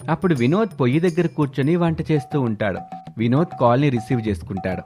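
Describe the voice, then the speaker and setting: A man's voice: medium pitch, fast talker, a little mellow. A man narrating a scripted story as its storyteller. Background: background music.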